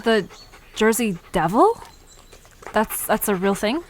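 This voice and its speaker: A woman's voice: medium pitch, sing-song, takes it slowly, a little hiss or hum. A woman playing a nervous, curious young woman in an audio drama, flustered and stammering as she talks. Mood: awkward, curious.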